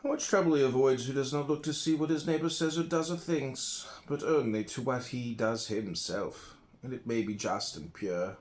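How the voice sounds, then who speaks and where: A man's voice: mid-range voice, normal speed, hardly any pauses, darkish tone. An American man reading classical philosophy aloud as an audiobook, in a solemn, emphatic, declamatory manner. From United States. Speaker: audiobook reader.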